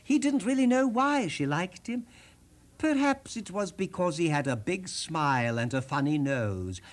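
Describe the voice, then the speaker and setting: A man hosting as a storyteller, telling children's tales theatrically, with dramatic, deliberate phrasing. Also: high voice, lively intonation. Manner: dramatic, deliberate phrasing with direct questions to listeners. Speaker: storyteller host.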